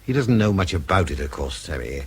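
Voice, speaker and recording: A man's voice: low voice, sing-song, a little fast, breathy edge, exaggerated voice. An actor playing a kindly older British section chief in a radio drama, genial and fatherly in manner. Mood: genial, reassuring. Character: kindly, avuncular old outgoing section chief.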